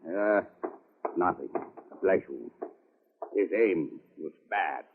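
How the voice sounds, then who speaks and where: Weary physician, hesitant, troubled, low-pitched, vintage narrow-band radio drama. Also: male voice, animated, slow, heavily acted. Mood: shaken. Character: weary, bewildered male doctor. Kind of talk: 1940s radio drama acting. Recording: noisy band-limited old radio recording.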